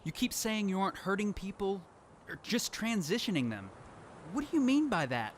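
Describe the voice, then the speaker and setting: A man's voice: sits high, sing-song, moderate pace, a little hiss or hum. A man playing a lone apocalypse survivor who tells his story in earnest, dramatic first-person narration for an audio drama. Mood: shocked, defiant. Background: music.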